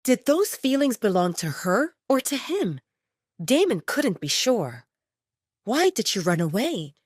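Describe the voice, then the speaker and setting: 抑揚豊かな女性のドラマ朗読. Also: female voice, on the high side, big pitch swings, unhurried, no echo, studio quality. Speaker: audio drama narrator. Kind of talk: scripted romance fiction narration.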